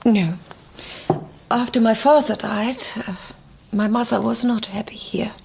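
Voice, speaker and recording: A woman's voice: on the high side, fairly even intonation, unhurried, creaky, background noise. An actress playing a reserved German woman, speaking tersely and carefully in a radio drama. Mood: wistful, guarded. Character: guarded, reserved German cellist recalling her parents. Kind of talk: naturalistic radio drama acting.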